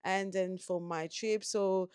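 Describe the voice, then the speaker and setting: A woman's voice: medium pitch, steady tone, slow, a little mellow, smooth, no echo. Chatty woman vlogger, dry close sound. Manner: chatty, repeats words and restarts, quotes people in bursts. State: tired after no sleep. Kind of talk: casual vlog recap.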